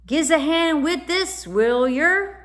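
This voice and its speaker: Woman acting a snarling ragged boy, pitched high. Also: lively intonation, takes it slowly, a bit bright, heavily acted. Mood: urgent, gruff. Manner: growling, dialect-laden, urgent. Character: rough, snarling, scared ragged boy from the past. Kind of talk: children's storybook read-aloud.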